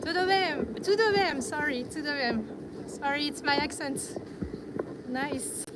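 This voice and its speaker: A woman walking outdoors on busy streets, chatting casually on a live stream and often pausing to search for words. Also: sits high. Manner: hesitant, searching for words, light laughs. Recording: loud street noise.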